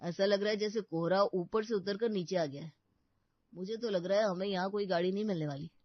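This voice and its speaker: A man's voice: sits high, fairly quick, no echo, natural voice. A man voicing a matter-of-fact younger brother in a Hindi cartoon story, explaining as he talks. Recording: dull, muffled studio voice track.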